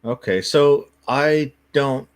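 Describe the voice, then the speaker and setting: A man's voice: medium pitch, takes it slowly, clean tone. A man co-hosting a livestream, chatting casually and adding dry, wry remarks. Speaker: co-host.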